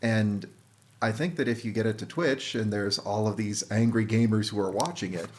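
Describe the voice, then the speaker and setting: A man with a somewhat low voice speaks in a casual group chat. He lays out his thoughts earnestly, phrase by measured phrase. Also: a little hiss or hum.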